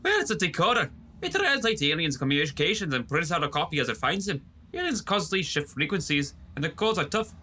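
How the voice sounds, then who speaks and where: Man as an animated, higher-voiced ship's doctor. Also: fast talker, few pauses, a little hiss or hum. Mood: excited, proud. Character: earnest, fussy male ship's doctor and inventor. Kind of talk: audio drama acting.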